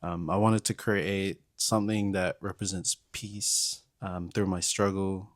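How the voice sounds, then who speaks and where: A man in his twenties, a podcast guest, talking in a relaxed and slightly hesitant way. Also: deep voice, a little slow, dry recording, clean studio sound. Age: 20s. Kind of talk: casual chat.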